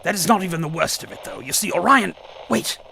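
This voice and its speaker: An actor playing a nervous, fussy scientist informant in a radio drama, stammering and formally precise. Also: male voice, high voice, animated, brisk, gravelly, pushed and bright, slight background noise, exaggerated voice. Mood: earnest, explaining. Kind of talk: old-time radio drama acting.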